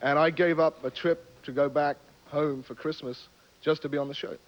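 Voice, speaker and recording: A man's voice: a little high, steady tone, normal speed. Hesitant male contestant. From England. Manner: hesitant, chatty, with fillers and little laughs. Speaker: game show contestant. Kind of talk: casual on-air chat. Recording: 1970s TV broadcast, dull sound, faint background noise.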